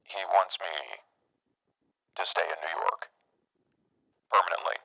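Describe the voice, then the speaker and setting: A man playing a big, socially awkward vampire in an improvised roleplay, speaking formally and earnestly with halting phrasing. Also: sits low, steady tone, vocal fry, bright, forceful tone, no echo, heavily acted, poor audio. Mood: pensive. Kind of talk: improvised tabletop roleplay, phone conversation.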